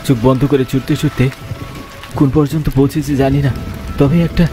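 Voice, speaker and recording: A man's voice: mid-range voice, fairly even intonation, fairly quick, music in the background. Man narrating a horror cartoon. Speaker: narrator and voice actor. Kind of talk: dramatic horror storytelling.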